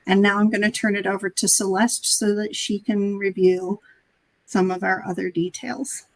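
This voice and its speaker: A woman program coordinator introducing the team and open calls in a webinar, speaking in a plain, steady way. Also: medium pitch, normal speed, no echo, poor audio. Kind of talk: webinar presentation.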